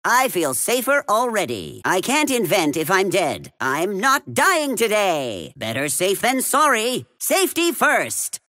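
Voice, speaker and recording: Wildly animated little mad inventor. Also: male voice, high voice, a little slow, few pauses, no room sound, studio quality, exaggerated voice. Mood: eager, self-assured. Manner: smug, theatrical, scheming. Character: cocky little male keenfolk tinker, gleeful mad inventor. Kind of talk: video game character voice lines.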